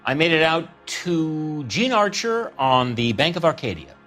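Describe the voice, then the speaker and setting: A man's voice: on the high side, very animated, measured pace, a bit bright, faint music behind. An actor playing a slick, folksy used-car salesman in a video game, full of jokey patter and calling people son. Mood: breezy, wry. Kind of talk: video game character acting.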